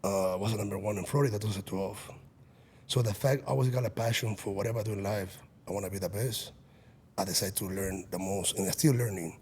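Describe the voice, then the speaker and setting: A man's voice: lowish voice, steady tone, fast talker, a little mellow, a little hiss or hum. A man in his late thirties, an executive protection agent and business owner, talking earnestly in a casual podcast chat with frequent restarts. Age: 30s.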